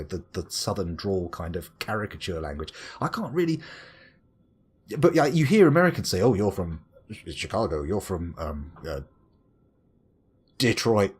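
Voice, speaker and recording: A man with an English accent talks over his game to a live chat, wandering from tangent to tangent and poking fun at himself. His voice sits on the low side with a slightly husky edge, and it swoops up and down a lot as he rambles. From England. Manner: rambling, chatty, self-mocking, lively swings into exclaimed bits. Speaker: gaming streamer reading chat. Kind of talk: casual live-stream chat.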